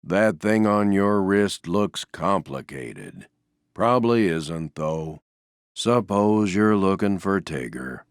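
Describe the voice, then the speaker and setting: A glum, gentle stuffed donkey from a video game, speaks in a very low, slow drawl full of gravel. The delivery is deadpan and resigned, often trailing off at the ends. The recording is close and dry. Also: male voice, no room sound, exaggerated voice. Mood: resigned, gloomy. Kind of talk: video game character voice lines.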